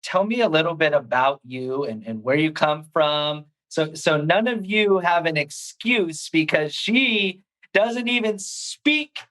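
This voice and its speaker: A man hosting a podcast, energetic and hype-filled, often stumbling and restarting his sentences. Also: on the high side, low-quality recording. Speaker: podcast host. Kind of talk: hyped show host intro and interview.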